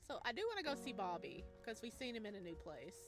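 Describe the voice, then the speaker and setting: A woman doing playful, expressive gameplay commentary, slipping between reading text aloud and exclaiming in singsong asides. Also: medium pitch, fast talker, music in the background. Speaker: game streamer.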